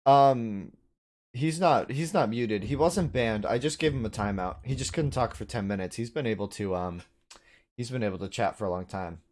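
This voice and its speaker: A man's voice: medium pitch, normal speed, darkish tone. Casual male game streamer commentating. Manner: chatty, informal, addresses chat as dude and buddy. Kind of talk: casual live esports commentary, chatting with viewers.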